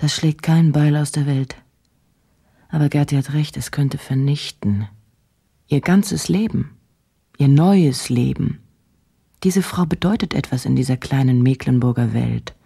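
An actress playing a driven, troubled woman journalist in a radio play, speaking in a halting, introspective, intense way. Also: mellow, exaggerated voice. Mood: brooding. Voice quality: low, husky. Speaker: radio drama actor. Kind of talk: German radio play, intimate monologue and interview.

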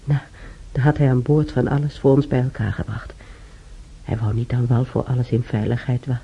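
An older woman acting an ailing, weary old woman reminiscing alone in a radio drama, halting and sighing, with a breathy voice. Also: extremely low pitch, little pitch movement, heavily acted. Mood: fond reminiscing. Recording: dull radio recording, faint noise.

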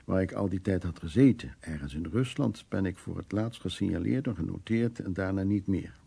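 An older man in a radio play, a stubborn survivor of the war. His voice is low and mellow with a gravelly creak, and he talks in plain, colloquial words, world-weary yet fond. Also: fairly quick, heavily acted. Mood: wry, weary reminiscing. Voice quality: husky, creaky. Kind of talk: radio drama acting, reminiscing and intimate dialogue.

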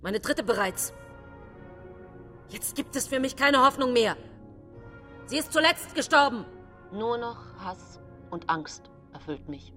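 An actress playing a scheming, falsely pious widowed poisoner, telling her story in a German radio play in a measured, confiding and dramatic manner. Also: a little high, expressive, bright, forceful tone, soft background music, heavily acted. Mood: despairing. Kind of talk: German radio play monologue, first-person narration.

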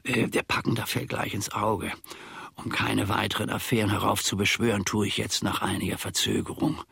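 An actor playing a pedantic, simple-minded manservant in a radio play, speaking in a ponderous, mock-learned, rambling way. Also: male voice, lowish voice, flat delivery, normal speed, creaky, a bit bright, breathy edge, no room sound, full character voice. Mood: wry, self-important. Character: pedantic, simple-minded male servant of a philosopher. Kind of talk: German radio play acting.

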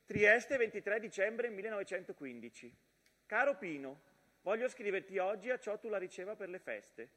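A man's voice: high voice, big pitch swings, normal speed, a bit bright, heavily acted. A man voicing an affectionate, playful young mother reading out a letter to her husband on stage. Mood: tender. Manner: tender, playful. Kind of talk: theatrical letter monologue. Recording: echoey hall with long reverb.

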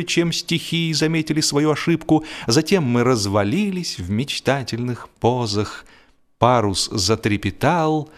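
A man narrating a humorous literary story in a theatrical, mock-lofty manner, with expressive phrasing and deliberate pauses. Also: mid-range voice. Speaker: audiobook narrator. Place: studio. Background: music.

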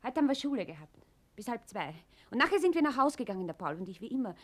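A woman's voice: fairly high pitch, sing-song, fast talker. An actress playing an earnest, worried schoolgirl daughter with a Viennese accent in a naturalistic radio play. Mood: urgent, grave.

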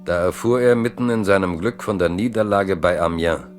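A man acting as the grave literary narrator of a historical German radio drama, with deliberate delivery. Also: deep voice, over music, natural voice. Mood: dramatic.

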